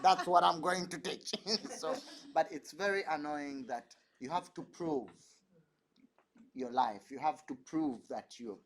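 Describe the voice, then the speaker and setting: A man's voice: fairly high pitch, expressive, measured pace, vocal fry, a bit bright, slight background noise. A man from Uganda, a dance artist, telling stories animatedly on a panel and laughing often. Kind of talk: panel discussion.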